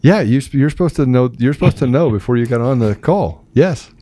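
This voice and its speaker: A man's voice: medium pitch, lively intonation, brisk, warm tone. A man hosting a casual live talk show, joking and teasing, often repeating himself as he banters.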